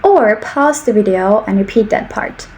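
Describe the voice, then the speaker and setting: A woman in her twenties from Turkey with a Turkish accent, speaking English slowly and carefully as a channel host giving speaking practice. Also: mid-range voice, animated, a little mellow, a little hiss or hum. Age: 20s. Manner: slow, careful speech with simple sentences. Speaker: English teacher. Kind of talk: slow English practice monologue.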